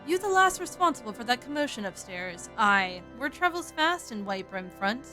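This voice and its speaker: A woman reading aloud the lines of a gruff, old-fashioned army camp cook from a game, in a near-everyday voice. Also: fairly high pitch, sing-song, moderate pace, sharp tone, soft background music. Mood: matter-of-fact.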